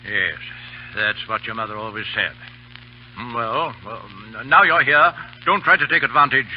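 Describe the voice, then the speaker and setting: An actor in an old radio drama playing a stern, middle-aged British colonel, aloof and clipped in manner. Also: male voice, medium pitch, moderate pace, slight background noise, lightly acted. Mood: gruff, awkward. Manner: clipped, gruff, commanding. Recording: muffled old radio broadcast.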